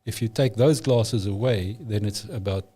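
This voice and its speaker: Mellow-voiced man. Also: on the low side, moderate pace, slightly rough. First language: Afrikaans. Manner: emphatic, opinionated, frequent restarts. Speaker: podcast guest. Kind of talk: casual conversation.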